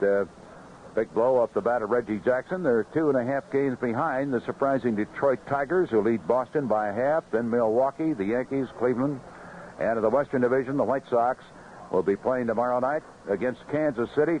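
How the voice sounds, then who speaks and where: An American man giving a seventies baseball radio report in a measured, conversational way. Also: medium pitch, darkish tone. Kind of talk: 1970s radio scoreboard report and sponsor reads. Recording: dull, faintly noisy 1970s AM radio.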